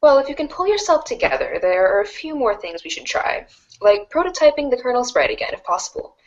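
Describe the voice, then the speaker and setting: A woman acts out a wry, analytical teenage girl in an audio storybook. The voice is slightly high, articulate and lively in pitch, on a dull lo-fi recording. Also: brisk. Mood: analytical, brisk. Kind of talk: read-along webcomic acting.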